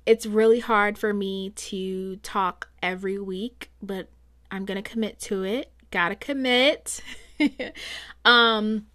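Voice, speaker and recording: A woman's voice: mid-range voice, steady tone, slow, pushed and bright. A woman hosting a solo podcast, talking through her thoughts in a chatty, reflective way, her voice a bit strained from so much talking. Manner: chatty, reflective, rambling with fillers. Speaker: podcast host. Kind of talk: casual solo podcast monologue.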